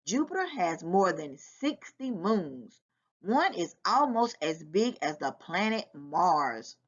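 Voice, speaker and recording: A woman reads a children's fact book aloud with very lively, swooping intonation. She takes her time over each sentence in a deliberate, teacherly way. Her voice sits a little low, and she sounds like a volunteer reader addressing young listeners. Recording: dull, lo-fi sound.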